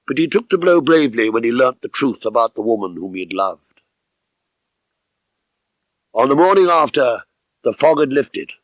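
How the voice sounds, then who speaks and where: Guy reading mystery audiobook, measured n precise, dry slightly muffled 70s studio tape. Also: medium pitch, no echo. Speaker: audiobook narrator. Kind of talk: dramatic scripted narration of a mystery novel. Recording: slightly dull 1970s audiobook recording.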